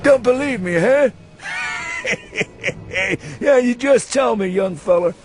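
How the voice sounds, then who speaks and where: A man's voice: very high voice, animated, slow, a little mellow, a little hiss or hum, voice pushed up, full character voice. A man voicing a drunken, hysterical old man with a rural New England accent and rustic, goading speech in a dramatised story reading. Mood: sly, goading. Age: elderly. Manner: rustic dialect, goading questions ending in "huh?". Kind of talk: dramatised audiobook reading for radio.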